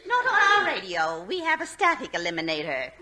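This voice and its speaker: A woman's voice: medium pitch, huge pitch swings, fairly quick, heavy background noise. Gushing, swooning older lady fan. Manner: gushing, coy, theatrical. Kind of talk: 1940s radio comedy acting.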